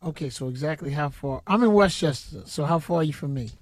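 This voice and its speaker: A man's voice: a little high, animated. A man from the Bronx, hosting a radio show and chatting casually with his guests. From the Bronx, New York. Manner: easygoing, asks quick friendly questions. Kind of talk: casual on-air interview. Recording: noisy background.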